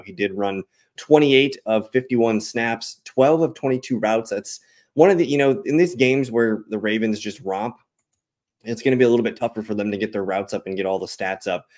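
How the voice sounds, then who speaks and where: Man in his thirties, low-pitched voice, casual sports analysis, conversational, matter-of-fact stat rundown. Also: fairly quick, darkish tone. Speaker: fantasy football show host.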